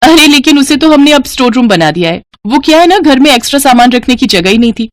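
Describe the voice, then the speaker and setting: A woman's voice: high voice, expressive, fast, no echo, clean studio sound, pitched above the performer's own voice. A woman voicing a chatty, breezily over-polite young housewife and sister-in-law in a cartoon. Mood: welcoming, breezy.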